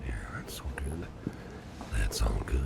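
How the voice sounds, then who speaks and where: A man playing a kindly, protective businessman boss in an ASMR roleplay, speaking in a gentle, reassuring, gravelly murmur. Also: big pitch swings, unhurried, exaggerated voice. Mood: warm, gentle. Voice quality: very deep, gravelly, breathy murmur. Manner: gentle, reassuring, softly spoken. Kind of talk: ASMR personal attention roleplay. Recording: clear background noise and ambience.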